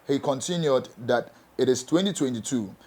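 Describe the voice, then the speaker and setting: Ghanaian guy hosting a music news talk show, medium pitch voice. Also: normal speed, darkish tone. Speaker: show host and MC.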